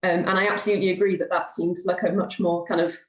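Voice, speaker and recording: A woman's voice: on the low side, level tone, fast. Young woman panelist, casual and reflective, lots of "um" and "like", echoey room. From Wales. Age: young adult. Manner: thoughtful, frequent fillers like "um" and "like". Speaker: panelist, folk musician. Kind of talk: panel discussion. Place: bookshop event room.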